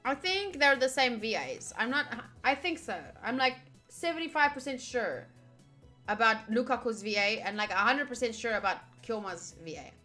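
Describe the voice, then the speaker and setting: Woman, high sharp voice, excitable streamer commentary, reading game text, music behind. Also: normal speed. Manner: excitable, giggly, animated reactions. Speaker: streamer playing through a visual novel.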